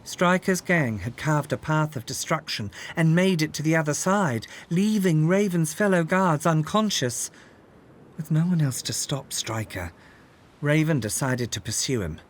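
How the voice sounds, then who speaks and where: A man narrating a scripted children's story in an expressive, dramatic way. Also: fairly high pitch, measured pace.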